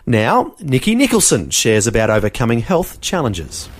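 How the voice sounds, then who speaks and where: A man's voice: mid-range voice, wildly swooping pitch, normal speed. A man hosting a show and reading a scripted introduction, in an announcer-like way. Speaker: host.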